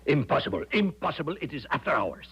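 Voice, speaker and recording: A man's voice: a little high, sing-song, quick pace, a bit bright. An actor playing a nervous, rule-bound consulate clerk, flustered and stammering his protests in a 1970s radio drama. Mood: flustered, refusing. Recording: dull, muffled vintage radio recording.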